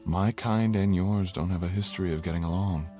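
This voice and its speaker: A man's voice: low-pitched, steady tone, a little fast, dark tone, close to an everyday voice. A man playing a courtly, teasing, tender dragon king in human form, speaking intimately as a lover in an ASMR roleplay. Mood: candid, wry. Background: music.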